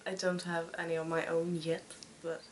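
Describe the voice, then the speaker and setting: A Swedish woman in her twenties hosting a podcast in casual chat, speaking with a Swedish accent and pausing often to find her words. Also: on the low side, level tone, slight background noise. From Sweden. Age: 20s. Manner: hesitant, searching for words. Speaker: podcast host.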